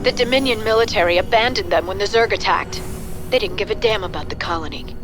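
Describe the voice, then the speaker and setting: An actress playing an earnest doctor who leads a besieged colony in a video game, formal and urgent. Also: female voice, mid-range voice, quick pace, over music, close to an everyday voice.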